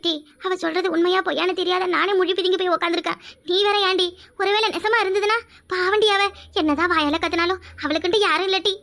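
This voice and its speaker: A woman's voice: extremely high pitch, steady tone, fast talker, bright, forceful tone, exaggerated voice. A woman playing an aggrieved wife who complains and gossips about her in-laws in a comedy skit. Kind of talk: village family comedy skit.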